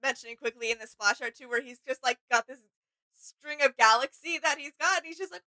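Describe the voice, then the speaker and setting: A woman's voice: high voice, brisk, sharp tone, no echo. A woman co-hosting a casual reaction show, talking in an agreeable, appreciative way.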